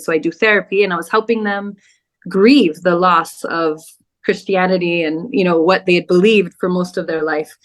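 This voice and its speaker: Lower-voiced woman hosting an interview. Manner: warm brief affirmations, measured questions. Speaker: interview host.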